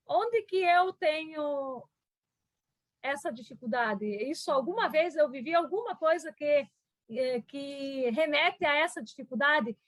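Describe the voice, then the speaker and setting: A woman's voice: high voice, fairly bright tone, no echo. Hesitant woman. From southern Brazil. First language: German. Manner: hesitant, many restarts and fillers. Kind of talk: reflective conversation.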